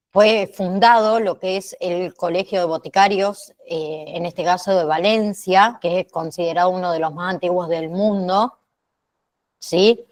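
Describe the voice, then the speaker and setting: A woman's voice: medium pitch, darkish tone. Woman teaching an online class. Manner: frequent questioning check-ins after phrases. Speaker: teacher of an online pharmacy assistant course. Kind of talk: online lecture, explaining history to students.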